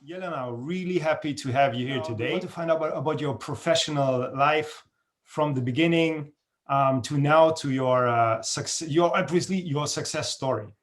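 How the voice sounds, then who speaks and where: Higher-voiced man hosting a podcast. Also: normal speed. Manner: rambling, stacks questions with restarts. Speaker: podcast host. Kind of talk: casual interview talk.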